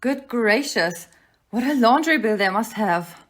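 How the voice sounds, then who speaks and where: Woman acting as a high-voiced, inquisitive detective's companion. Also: lively intonation, unhurried, fairly bright tone. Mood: startled. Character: inquisitive, excitable young female companion of a detective. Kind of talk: audio play acting.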